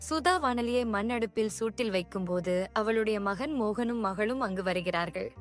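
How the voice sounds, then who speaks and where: A woman narrates a children's cartoon story in an even, measured storytelling voice. Her pitch sits mid-range, and soft music plays behind her.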